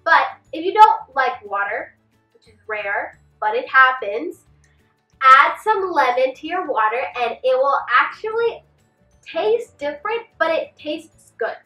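A woman's voice: high-pitched, very animated, unhurried, highs cut off, faint music behind. A young woman chatting through beauty tips to camera, a little congested from a cold and with a slight lisp from a retainer. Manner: chatty, gestures a lot while seated. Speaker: beauty vlogger. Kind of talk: casual tips vlog. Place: small bedroom set with clothes rack. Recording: roomy, slightly distant camera mic.

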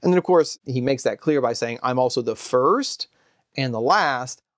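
A man in his forties explains scripture to the camera with earnest emphasis, his voice sitting at a middle pitch. The sound is close and dry, with no room echo, as he sits in a book-lined study and slows down to read passages aloud. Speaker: Bible teacher. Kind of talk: explanatory Bible lesson to camera. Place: study with bookshelves, indoors.

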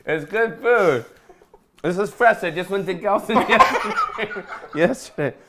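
A man's voice: high voice, animated, measured pace, heavy background noise. Brief: fifties male host, playful teasing banter, home kitchen, camera a few feet off. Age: 50s. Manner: playful, teasing, laughs often. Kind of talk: casual chat.

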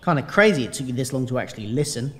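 A man's voice: medium pitch, lively intonation, very fast, fairly bright tone, long reverb tail, a little hiss or hum, natural voice. A man playing a grumbling phantom father in a sci-fi audio drama, with a wry, conversational delivery.

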